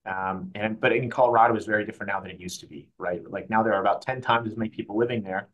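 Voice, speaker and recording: Fast-talking man, guest in interview. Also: lowish voice, steady tone, muffled recording. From Mexico. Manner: fast, chatty, self-correcting. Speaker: guest, private client advisor. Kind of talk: conversational interview.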